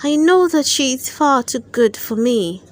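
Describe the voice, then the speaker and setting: A woman's voice: high-pitched, expressive, measured pace. A woman voicing a brave, lovesick little toy soldier in a storybook, with a wistful, sighing manner. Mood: shy, resolute.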